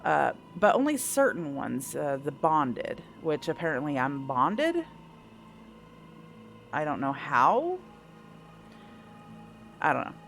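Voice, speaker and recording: A woman's voice: deep voice, big pitch swings, takes it slowly, creaky, pauses often, slight background noise. A woman doing casual playthrough commentary, thinking aloud and asking herself questions as she goes. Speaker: gameplay host.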